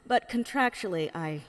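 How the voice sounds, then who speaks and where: Woman as a cocky, animated lady engineer. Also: medium pitch, unhurried, long reverb tail, natural voice. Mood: showy. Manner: swaggering, playful. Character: cocky, showy female engineer and theme-park designer, doctor. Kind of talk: comedy audio drama acting.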